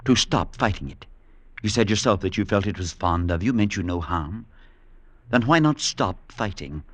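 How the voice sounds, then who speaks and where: A grave priest narrator, very deep. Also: male voice, expressive, normal speed, a bit bright, no room sound. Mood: gentle. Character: calm middle-aged male priest narrating. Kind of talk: 1940s radio acting.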